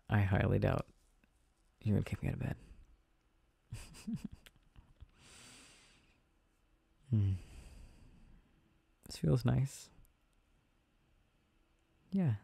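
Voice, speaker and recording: Man as a soft, dark-voiced, gentle boyfriend. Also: fairly low pitch, gravelly, breathy edge, no room sound, clean studio sound, heavily acted. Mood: playful, cozy. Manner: soft, intimate, halting, chuckling delivery. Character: gentle, reassuring, affectionate boyfriend, playfully teasing. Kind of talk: soft boyfriend ASMR roleplay.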